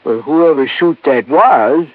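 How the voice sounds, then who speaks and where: A man's voice: on the high side, slow pace, no echo. A man playing a sly, murderous swindler in a 1950s radio drama, drawing his words out hesitantly. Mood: feigned innocence. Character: sly male swindler and killer running an old folks' home. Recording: muffled vintage radio recording.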